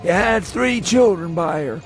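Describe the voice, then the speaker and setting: A high-pitched old rural New England man, rambling and insistent as he nurses a dreadful secret. He drags his words out in a folksy drawl, full of dread, in a radio horror tale with faint music behind him. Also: lively intonation, a little slow. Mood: maudlin, confiding. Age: older. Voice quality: shrill when agitated. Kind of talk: dramatised horror reading for radio.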